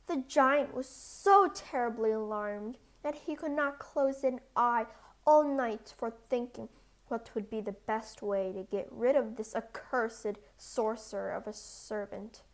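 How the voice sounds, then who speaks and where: Slow-paced woman reading fairy tales. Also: fairly high pitch. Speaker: storyteller. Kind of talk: scripted children's fairy tale narration.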